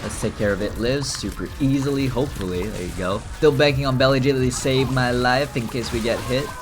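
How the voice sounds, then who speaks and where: A man's voice: mid-range voice, a little fast, music in the background. A young man raised in England, casually commenting on his gameplay and telling chatty stories with frequent laughter. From Philippines, raised in England. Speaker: gaming streamer.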